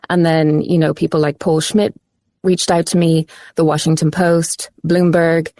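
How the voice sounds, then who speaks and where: Low, mellow Irish woman, earnest guest. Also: no room sound. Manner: earnest, searching for words. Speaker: voiceover artist guest. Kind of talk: phone-in interview.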